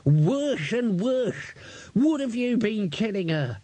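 A man voicing a cranky, suspicious old male manservant who bawls and grumbles in a slow, drawn-out way. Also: high voice, big pitch swings, warm tone, dry recording, pitched above the performer's own voice, full character voice. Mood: grumpy. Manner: bawling, quarrelsome, slow drawn-out.

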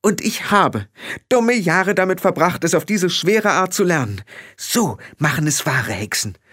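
A man's voice: high-pitched, lively intonation, measured pace, fairly bright tone, pitched above the performer's own voice, heavily acted. A man reading a storybook aloud, voicing a headstrong young girl witch who fires off indignant questions. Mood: defiant.

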